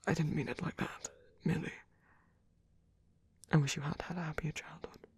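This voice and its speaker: A man voicing a caring, gentle vampire priest in an ASMR roleplay, speaking in a soothing, halting, whispery hush. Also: a little high, little pitch movement. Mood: tender, reassuring. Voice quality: breathy, whispery. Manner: soothing, tender, hushed and halting.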